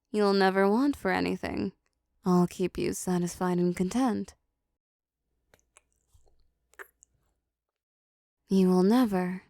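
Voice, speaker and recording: A woman voicing a possessive, seductive female demon in an intimate audio roleplay, softly teasing, dominant and doting. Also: mid-range voice, gravelly, no echo, clean studio sound.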